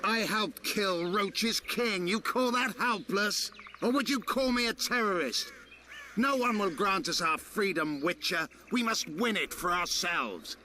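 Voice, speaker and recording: A proud elf rebel leader from a video game. He speaks slowly and with theatrical menace, swinging widely in pitch. His contempt is sharp and deliberate, over faint background noise. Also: animated, heavily acted. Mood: defiant, contemptuous. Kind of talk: dramatic video game acting.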